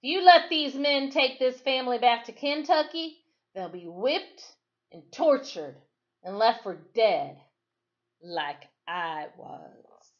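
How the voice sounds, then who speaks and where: A woman's voice: a little high, lively intonation, slow pace, pitched above the performer's own voice. A woman voicing a brave young man who escaped slavery, in a storybook, earnest and solemnly warning. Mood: grave, pleading. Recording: dull, lo-fi sound.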